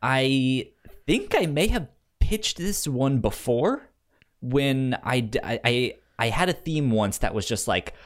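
Halting, stammering man with lively pitch swings. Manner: halting, often stammering and repeating words. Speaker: podcast host. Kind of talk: casual podcast chat.

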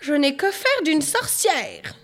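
Storybook fairy mother, played by a female reader: high, shrill, strict, quick to scold. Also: animated, a bit bright, faint music behind. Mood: outraged.

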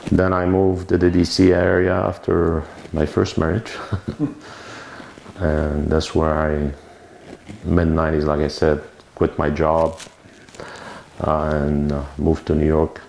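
Deep gravelly guy in his 50s, rambling interview in a roomy space. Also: little pitch movement, slow, darkish tone. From Lebanon. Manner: rambling, self-correcting storyteller. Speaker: winemaker interviewee. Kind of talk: oral history interview. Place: winery. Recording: roomy recording with faint noise.

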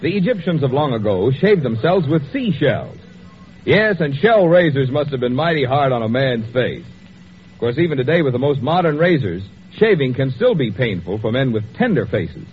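A man's voice: medium pitch, very animated, normal speed. A male radio announcer reading advertising copy in a polished, salesmanlike 1940s style. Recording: muffled, hissy old radio recording.

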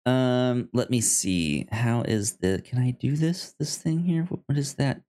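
A man co-hosting a podcast, casually discussing film in a halting way, often repeating and stammering over his words. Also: mid-range voice, animated, warm tone. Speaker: podcast co-host.

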